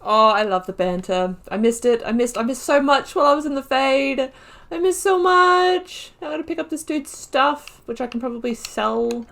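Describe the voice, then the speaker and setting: A woman's voice: high-pitched, moderate pace, vocal fry. Chatty young woman gaming commentary. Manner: chatty, excitable, talks to herself while playing. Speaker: gaming channel host. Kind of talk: casual let's-play commentary.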